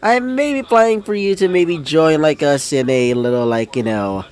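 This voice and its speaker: A man's voice: fairly high pitch, animated, normal speed, darkish tone, clear, resonant tone, close to an everyday voice. A man voicing an eager, friendly male classmate who talks in a chummy, inviting way.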